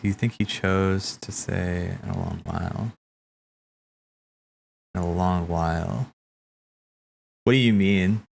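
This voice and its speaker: A man streaming casual live commentary, thinking aloud in a halting way and repeating phrases as he reasons. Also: low-pitched, steady tone, creaky, a little hiss or hum. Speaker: streamer hosting a cutscene watch party. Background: game music behind the voice.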